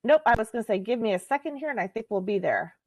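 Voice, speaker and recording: A woman's voice: fairly high pitch, animated, quick pace. A woman co-facilitating an online meeting, talking casually to the participants as she handles the technical side. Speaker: co-facilitator running the slides. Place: online conference call.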